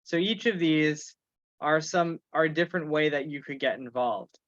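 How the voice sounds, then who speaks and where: A man with a slightly high voice chairs a casual online meeting. He talks haltingly, working through his thoughts aloud. The sound is dry and a little dull, as on a video call. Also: fairly bright tone, no room sound. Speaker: meeting host and organizer. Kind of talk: informal online meeting talk. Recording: muffled video call audio.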